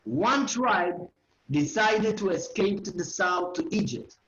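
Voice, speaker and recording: High-voiced Israeli man lecturing online. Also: animated, slow, a bit bright, slight background noise. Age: 40s. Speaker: educational tour guide giving a lecture. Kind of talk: online lecture, oral history. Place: living room at home. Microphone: video call. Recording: roomy, slightly dull video-call audio.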